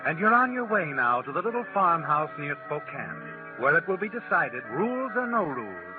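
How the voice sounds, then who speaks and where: Ominous 1940s radio mystery narrator. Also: male voice, on the high side. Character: ominous all-knowing male narrator addressing the heroine. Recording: muffled vintage 1940s radio recording. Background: music.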